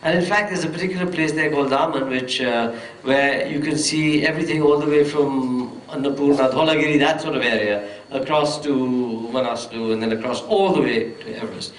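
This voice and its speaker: A man on a festival panel telling a travel story at length, pausing often with hesitations and restarts. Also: mid-range voice. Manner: rambling, full of hesitations and restarts. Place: festival hall on stage. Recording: roomy distant hall mic with faint noise.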